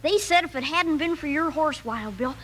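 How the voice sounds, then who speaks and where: A woman's voice: sits high, lively intonation, normal speed, fairly bright tone. A woman playing an eager, boastful little boy with an American accent in a 1950s radio western. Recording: old radio recording with faint hiss.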